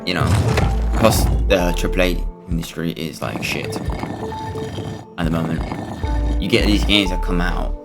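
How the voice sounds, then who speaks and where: A man giving casual, rambling and halting gameplay commentary, blunt and sweary as host of a gaming video. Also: sits low, steady tone, vocal fry, over loud music.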